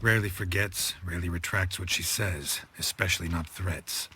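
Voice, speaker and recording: An actor playing a stoic, world-weary monster hunter in a video game, terse and deadpan. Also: male voice, deep voice, moderate pace, slight background noise. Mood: dry, resigned. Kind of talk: understated video game acting. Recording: faint music behind the voice.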